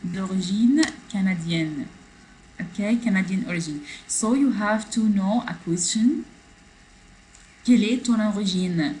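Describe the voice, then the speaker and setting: A woman teaching French in an online class, reading a text aloud and explaining it slowly, switching between French and English. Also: mid-range voice, mellow, slight background noise, low-quality recording. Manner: switches between French and English, slow, repeating phrases for learners. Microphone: computer mic in a video class.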